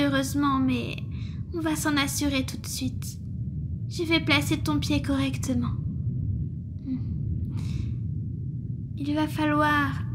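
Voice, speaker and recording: A woman voicing a gentle, protective water monster girl nurse in an ASMR roleplay, soothing and attentive. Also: high-pitched. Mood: tender, reassuring. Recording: clearly noisy background with ambience.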